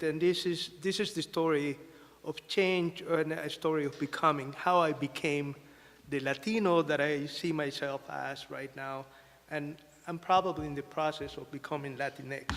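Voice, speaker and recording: Guy from mexico telling his story at a panel, kinda high voice, big hall echo. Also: fairly bright tone. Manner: halting, with fillers and restarts. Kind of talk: personal story told to an audience. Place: museum event hall. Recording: long reverb of a hall.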